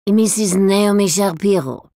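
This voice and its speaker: A woman's voice: medium pitch, measured pace, dark tone, no room sound, clean studio sound, natural voice. An actress voicing an eccentric, grand old woman who speaks in broken, foreign-sounding grammar with a haughty, regal air. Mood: gracious. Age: older. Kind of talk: audiobook character voice.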